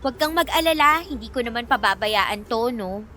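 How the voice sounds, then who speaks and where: A feisty young Filipino shop manager in a radio drama. Her voice is high and bright, swinging freely as she banters with easy familiarity. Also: animated, fairly quick, faint music behind. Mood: mildly protesting.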